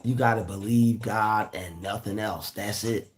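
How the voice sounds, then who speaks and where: A man with a raspy voice giving a casual, preachy motivational talk, repeating his points. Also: fairly low pitch, unhurried. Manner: repeats phrases, preachy and earnest. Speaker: motivational vlogger.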